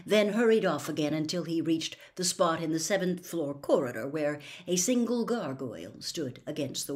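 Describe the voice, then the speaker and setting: A woman narrates a storybook as an audiobook reader. Her voice sits a little lower than usual for a woman, in plain scripted reading.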